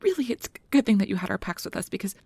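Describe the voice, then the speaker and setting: A woman playing a nervous, caring young magic researcher in an ASMR audio drama, rambling in a flustered, halting, apologetic way. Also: mid-range voice, very animated, gravelly, a little mellow, slightly rough, dry recording, exaggerated voice.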